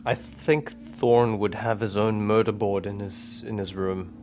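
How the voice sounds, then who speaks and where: A man's voice: on the low side, steady tone, a little slow, a little mellow. A man chatting casually as a tabletop roleplaying player, describing his character's thoughts and actions. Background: instrumental music bed.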